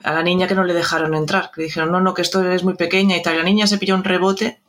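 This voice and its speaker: High, flat-toned man telling an anecdote. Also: fast. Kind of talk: casual anecdote.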